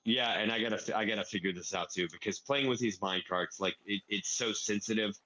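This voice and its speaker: A man's voice: on the low side, fairly quick, bright, forceful tone, poor audio. A man explaining a game build casually, step by step, thinking aloud as he plays. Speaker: player explaining a farm build. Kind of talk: casual gaming tutorial.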